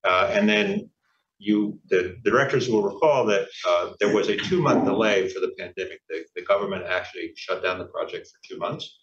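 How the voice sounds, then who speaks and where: Deep-voiced man, distant in a boomy boardroom. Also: slight background noise. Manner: hesitant and measured, with frequent pauses. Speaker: project management executive reporting to a board. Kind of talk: formal board meeting report. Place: large indoor conference room. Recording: echoey, dull sound picked up from far off in a boardroom.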